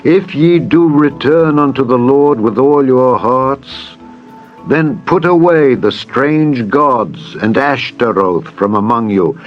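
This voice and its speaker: A man's voice: medium pitch, lively intonation, slow pace, highs cut off, faint music behind. A man voicing a stern prophet in dramatized scripture, exhorting in a declamatory, commanding way. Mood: solemn urging.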